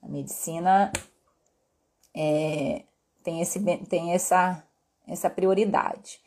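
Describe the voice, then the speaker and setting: A woman's voice: lowish voice, slow pace, mellow. A woman doctor with a Brazilian accent from Pará, mixed with Northeastern tones, casually answering viewer questions on a live stream. She explains things in a friendly way and keeps checking in with "tá?" and "né?". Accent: Brazilian Portuguese from Pará, mixed with Northeastern. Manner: friendly and explanatory, peppered with "tá?" and "né?" tags. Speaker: endocrinologist doctor hosting a live Q&A.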